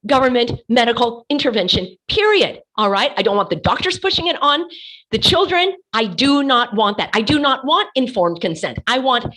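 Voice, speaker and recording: A woman hosts her own commentary show with a voice gone hoarse from laryngitis. She sits somewhat high and moves through a very lively range. She talks with passion and drives her points home by repeating words. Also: normal speed, poor audio. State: losing her voice. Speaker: host of an online commentary show. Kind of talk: casual commentary while sharing her screen.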